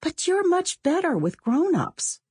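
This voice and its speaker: A woman's voice: very high voice, sing-song, measured pace, darkish tone, no echo, clean studio sound, pitched above the performer's own voice, full character voice. A woman voicing a reluctant eleven-year-old schoolgirl in an audiobook, hesitant and protesting. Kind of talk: audiobook character voice.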